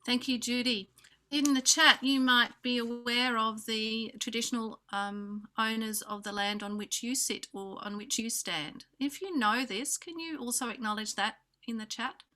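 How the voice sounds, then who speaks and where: An Australian woman hosting an online conference over a video call, giving introductions with frequent pauses and fillers. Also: fairly high pitch. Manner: warm hesitant introductions with many fillers. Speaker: conference host. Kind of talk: welcoming remarks on a video call.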